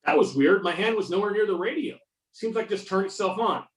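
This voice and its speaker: Roomy, distant video-call sound carries a man reading a wry federal agent. His voice is a little high for a man. He acts in an easygoing amateur theater style. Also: a little fast, close to an everyday voice. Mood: intrigued. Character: wry male FBI agent back in his hometown. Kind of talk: amateur readers theater acting. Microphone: videoconference or conference call.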